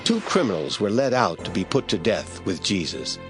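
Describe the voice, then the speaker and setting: A man narrating scripture in a radio drama, reading in a steady, measured way. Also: fairly low pitch, soft background music.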